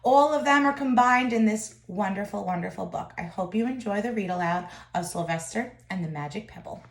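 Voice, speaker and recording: A woman teacher reading a children's picture book aloud, with expressive, deliberate phrasing and emphasis. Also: mid-range voice, roomy sound.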